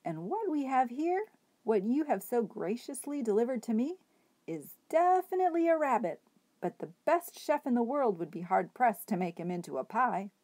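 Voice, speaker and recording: Brief: woman doing a kindly enormous tramp, formal phrasing, slow and warm-hearted, storybook reading. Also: very high voice, sing-song, pitched above the performer's own voice, full character voice. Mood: genial. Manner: courtly, gently formal, unhurried. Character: enormous bearded genial male hobo.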